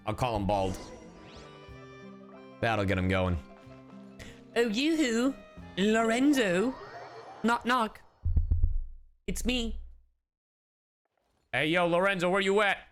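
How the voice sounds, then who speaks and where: Animated male game streamer, playful and slangy. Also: high voice, slow pace, pauses often. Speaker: streamer, VTuber. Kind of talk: casual gameplay livestream commentary. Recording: game music behind. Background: video game music.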